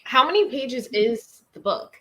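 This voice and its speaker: A woman with a rather high, very expressive voice chats casually on a livestream. Her delivery is playful and teasing, swinging up and down in pitch. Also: measured pace, noisy background.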